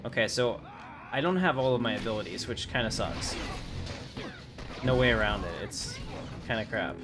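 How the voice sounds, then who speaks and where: Low-voiced man streaming a game. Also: expressive, moderate pace, music in the background. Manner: chatty, rambling, slangy. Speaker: livestreamer. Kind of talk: casual game livestream commentary. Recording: clearly noisy livestream audio.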